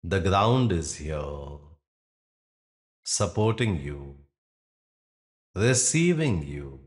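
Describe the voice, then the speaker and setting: A man guiding a yoga nidra meditation in a soothing, instructional manner with pauses between phrases. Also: low-pitched, very animated. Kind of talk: guided meditation narration.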